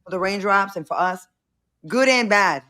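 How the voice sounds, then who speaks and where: A woman's voice: mid-range voice, normal speed, sharp tone. A woman with a New England accent, chatting casually and laughing at herself as a co-host. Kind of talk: casual chat.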